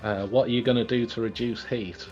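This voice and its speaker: A man's voice: medium pitch, fairly even intonation, a little fast, a little mellow. A man chatting casually as the game master of a tabletop role-playing game. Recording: muffled lo-fi audio with music behind. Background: background music.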